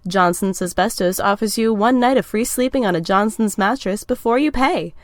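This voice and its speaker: An actress playing a chipper, polished old-time radio announcer reading a parody sponsor advertisement in a salesy manner. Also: female voice, mid-range voice, no room sound, studio quality, lightly acted.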